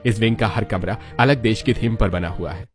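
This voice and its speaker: Formal, low-voiced palace man played by a man. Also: moderate pace, faint music behind, lightly acted. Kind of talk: Hindi moral-story cartoon dialogue.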